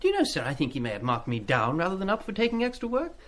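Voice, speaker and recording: A man's voice: sits high, wildly swooping pitch, very fast, fairly bright tone, exaggerated voice. An actor playing an eager, cheeky British schoolboy in a radio play, chatty and indiscreet but always polite to his elders. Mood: indignant, confiding. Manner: chatty, deferential with schoolboy slang.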